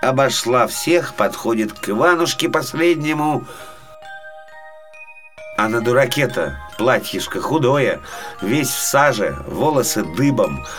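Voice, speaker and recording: A man narrating a folk tale for small children as an expressive, dramatic storyteller. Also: medium pitch, unhurried. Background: faint music.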